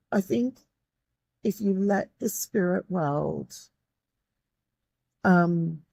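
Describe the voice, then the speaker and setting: Woman, low croaky voice, earnest guest, dry close mic. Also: animated, very slow, a little mellow, no echo. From Australia. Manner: earnest, grateful, with many restarts. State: croaky, tired voice. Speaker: guest, medium and tutor. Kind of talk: reflective interview talk.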